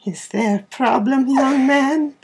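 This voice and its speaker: A man's voice: extremely high pitch, slow, darkish tone, slight background noise, voice pushed up, exaggerated voice. A man voicing a friendly, helpful safari ranger in a children's storybook, genial and welcoming.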